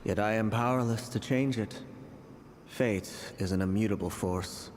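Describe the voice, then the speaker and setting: A man's voice: lowish voice, moderate pace, a little mellow, slight background noise, natural voice. A man voicing a grave, fatalistic visionary in a video game, solemn, formal and mournful. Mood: solemn lament. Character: grave, fatalistic male visionary lamenting the inevitable.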